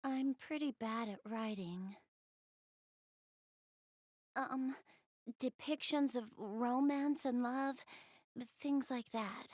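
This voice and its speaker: A woman's voice: high-pitched, slow, pauses often, darkish tone, no room sound, studio quality. A woman voicing a shy, bookish young goddess in a video game, speaking hesitantly and earnestly. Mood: dejected, pensive. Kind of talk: video game character voice acting, intimate one-to-one dialogue.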